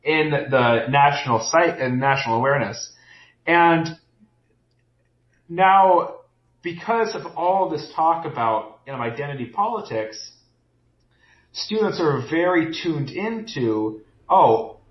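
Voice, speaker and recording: A man's voice: fairly high pitch, animated, slow, pauses often. A man co-hosting a podcast, discussing a book in casual chat and thinking aloud with many restarts. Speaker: podcast co-host. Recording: roomy, dull lo-fi sound.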